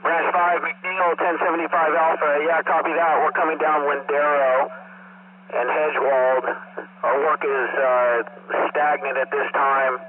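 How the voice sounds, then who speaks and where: A man's voice: fairly high pitch, level tone, unhurried. A man, a firefighter leading a team, reporting his position over two-way radio. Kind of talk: emergency radio traffic. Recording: noisy, phone-like fire radio.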